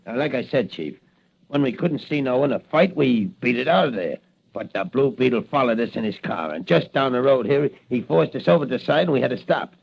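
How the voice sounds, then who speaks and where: An actor playing an excitable, uneducated gangster henchman in an old-time radio crime drama, talking in gruff, ungrammatical tough-guy slang. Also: medium pitch, brisk, a little hiss or hum. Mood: earnest. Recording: dull vintage radio recording.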